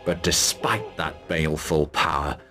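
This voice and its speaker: A man's voice: very deep voice, measured pace, bright, forceful tone, faint music behind, exaggerated voice. A man playing a battle-hardened, fearless commander in a fantasy game, speaking tersely with dry resolve. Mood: grim. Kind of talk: dramatic game cutscene acting.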